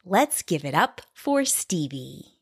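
A woman's voice: deep voice, big pitch swings, slow pace, vocal fry, husky, no room sound, studio quality. A woman podcast host reading a scripted introduction to a show.

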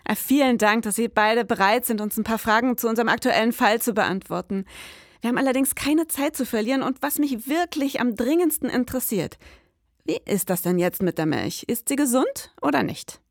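A woman playing a brisk, orderly detective who narrates a case in a mock-serious, businesslike noir style. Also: fairly high pitch, expressive, no room sound, studio quality.

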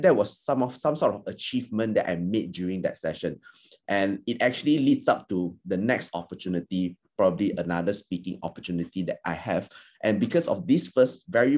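A man's voice: lowish voice, darkish tone. A man whose first language is Chinese, an alumni panellist and trainer, giving earnest, encouraging advice with many fillers and restarts on a video call. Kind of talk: panel discussion answer.

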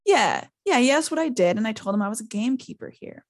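A man's voice: very high-pitched, very animated, fast, pitched above the performer's own voice, exaggerated voice. A woman voicing a big, simple-hearted male gamekeeper who rambles informally, boasts and blurts things out in a storybook reading. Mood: boastful, rambling.

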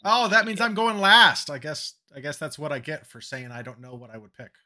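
Young man, very bright voice, lively up-and-down pitch, easygoing podcast banter. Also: a little fast. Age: young adult. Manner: easygoing, laughs often, short quips. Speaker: podcast co-host. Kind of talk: casual comedic chat.

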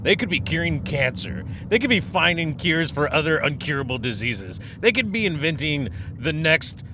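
Rambling podcast host, stuffy throat. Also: male voice, very animated, moderate pace. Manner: rambling, self-correcting, joking and laughing at himself. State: stuffy nose and throat after a cold. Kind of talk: casual solo podcast chat. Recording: noisy with background rumble.

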